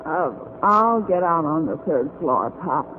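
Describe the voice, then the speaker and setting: A woman's voice: low voice, expressive, measured pace. An actress playing a weary, friendly working-class cleaning woman with a folksy, chatty manner in 1940s radio drama. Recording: tinny, faintly noisy old radio recording.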